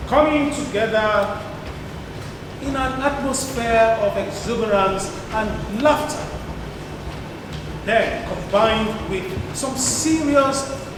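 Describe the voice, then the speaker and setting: Guy playing frat founder telling the old story, slow n declamatory, echoey hall way off mic. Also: high voice, recorded from a distance, noisy background. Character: theatrical founding member of a student fraternity, narrating its past. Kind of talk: scripted stage narration, declaimed to an audience. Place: theatre stage, picked up from afar.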